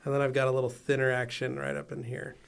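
A man co-hosting a podcast in a studio, bantering with quick jokes into a boom mic. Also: little pitch movement, vocal fry, smooth. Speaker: podcast co-host. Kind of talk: casual studio chat. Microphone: boom-arm studio mic.

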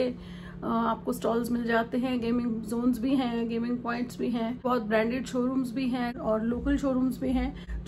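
A middle-aged Indian woman chatting casually as a travel vlogger, mixing in English phrases, recorded on a phone in a room. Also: high-pitched. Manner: switches between Hindi and English phrases. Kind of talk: casual travel vlog chat to camera. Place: hotel room by a large window, indoors. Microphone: phone at arm's length. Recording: clearly noisy room ambience.

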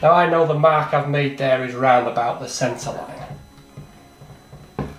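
A man's voice: mid-range voice, slow, highs cut off, background noise. A middle-aged man, a plumbing instructor, talks through a hands-on demonstration step by step in a plain, practical, self-deprecating way. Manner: plain, practical, self-deprecating, thinks aloud while working. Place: small training workshop, indoors. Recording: echoey workshop with faint clatter.

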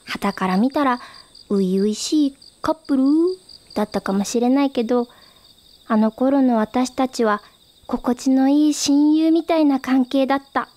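A woman's voice: high voice, unhurried, dark tone. An actress playing a wistful young woman, telling her memories reflectively as radio drama narration. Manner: reflective storytelling.

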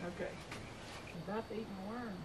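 A middle-aged woman with a Southern US accent, chatting excitedly while fishing from a pond dock, recorded outdoors on a camera. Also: mid-range voice, fast, noisy background. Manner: chatty, excited running commentary. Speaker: homestead channel host. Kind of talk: casual chat while fishing.

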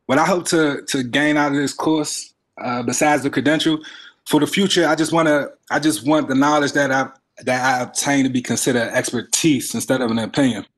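A man's voice: mid-range voice, steady tone, a little fast, a bit bright. A man introducing himself as a student on a video call, polite and formal.

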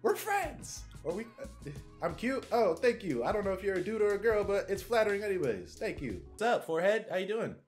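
A man's voice: sits high, big pitch swings, a little fast, hardly any pauses, soft background music. Playful young man joking on video chat. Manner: playful, mock-gangster bravado with sudden mumbled asides. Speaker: YouTuber. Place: small home room indoors. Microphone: studio microphone on a stand, headphones on.

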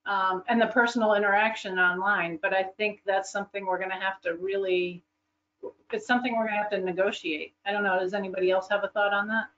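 A woman's voice: medium pitch, steady tone, quick pace, fairly bright tone. Woman on a dull video call. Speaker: school board chair in a meeting. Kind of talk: informal meeting discussion.

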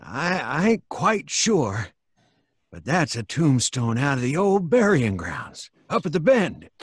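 A stubborn, frightened old country fellow in a radio horror play. He is husky and unhurried, halting and folksy, with a lively rise and fall. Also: fairly high pitch, dry recording, clean studio sound, heavily acted. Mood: grave, stubborn. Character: simple, spooked, stubborn old rustic man. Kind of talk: old-time radio drama acting. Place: home recording, live remote video call.

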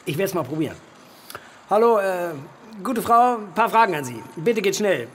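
A flippant clerk in a radio play. His fairly high voice is sarcastic and colloquial, impatient with everyone, with faint noise behind. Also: big pitch swings, normal speed.

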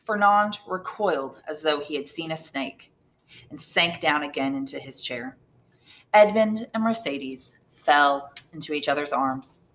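A woman reading a classic novel aloud as an expressive storyteller. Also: sits low, a little slow, rough recording. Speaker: audiobook reader, English teacher. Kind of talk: expressive scripted novel narration.

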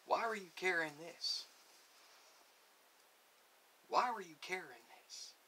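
A man acts out a stern military interrogator in an ASMR roleplay. His voice is very bright and tense, set a little high. He questions in halting, folksy repetitions over a faint hiss. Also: exaggerated voice. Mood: probing, suspicious. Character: stern, folksy male army sergeant interrogating a prisoner. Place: small cluttered room, indoors. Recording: hissy home recording.

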